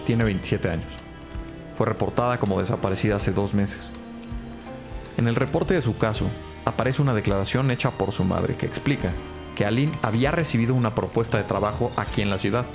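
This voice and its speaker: Creaky-voiced, formal male analyst. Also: lowish voice, brisk, music in the background. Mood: factual, composed. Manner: precise, formal, unemotional. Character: formal, factual police analyst reporting case findings. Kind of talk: audio drama acting.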